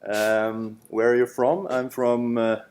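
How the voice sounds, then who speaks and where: A man's voice: medium pitch, flat delivery, slow pace, darkish tone, slight background noise. A man with a Norwegian accent leading a seminar, talking loosely with many fillers. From Norway. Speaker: lecturer. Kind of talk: seminar introduction. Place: lecture room. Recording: echoey classroom.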